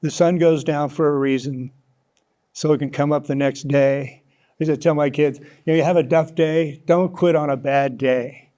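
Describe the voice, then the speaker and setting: An American man in his sixties with a mellow, relaxed tone set a little above average in pitch. He talks as an interview guest, thoughtful and reflective, often pausing mid-phrase as he finds his words. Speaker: interview guest, author and storyteller.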